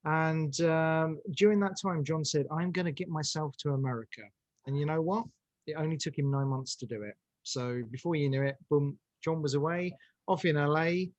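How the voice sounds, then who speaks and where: A man's voice: a little high, normal speed, darkish tone, dry recording, highs cut off. A man telling an anecdote casually as a guest in an interview. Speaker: podcast guest, audio drama director.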